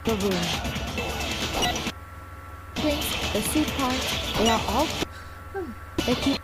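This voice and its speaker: A woman playing an earnest, accusing young student in a video game, insistent and emphatic over loud music. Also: a little high, lively intonation, drawn-out words, poor audio.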